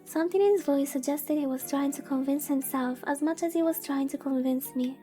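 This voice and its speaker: A woman with a high-pitched, gently dark and relaxed voice reads a visual novel on a livestream. She is informal, mixing narration with playfully exasperated asides, over faint background music. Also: brisk. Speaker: streamer reading a visual novel aloud. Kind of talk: casual livestream reading with commentary.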